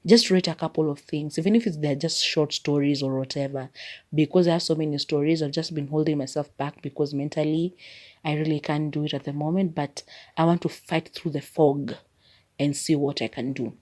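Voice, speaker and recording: A woman's voice: low-pitched, fairly even intonation, fairly quick, a little mellow. A woman with a Kenyan accent, whose first language is Maragoli, rambling in a chatty way through a casual solo podcast as its host. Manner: chatty and rambling, leans on "like" as filler.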